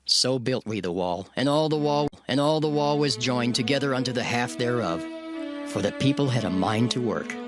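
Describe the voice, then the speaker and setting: A man narrating a dramatized scripture reading in a measured, solemn cadence, with loud music under his voice. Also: medium pitch, animated.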